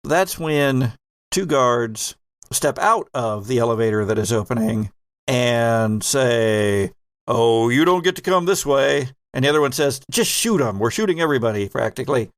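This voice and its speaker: A man narrating a horror tabletop roleplaying game as game master, with dramatic, drawn-out delivery. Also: medium pitch, expressive, no echo, clean studio sound.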